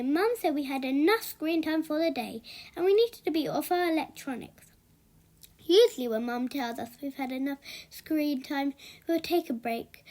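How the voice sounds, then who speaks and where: Lady doing an expressive kids book read-aloud, pretty high voice. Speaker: storyteller reading a children's book aloud.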